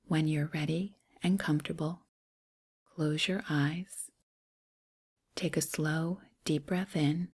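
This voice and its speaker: A woman with a breathy, hushed, near-whispering voice gently guides a meditation in short, unhurried, soothing phrases. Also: deep voice, fairly even intonation.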